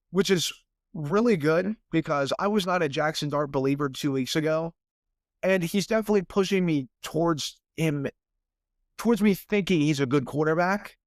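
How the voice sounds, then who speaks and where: A man's voice: a little high, dry recording, clean studio sound. A young man with a stuffy nose hosting a solo sports podcast in a casual, rambling way, often repeating words as he restarts. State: stuffy from sinuses. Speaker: podcast host.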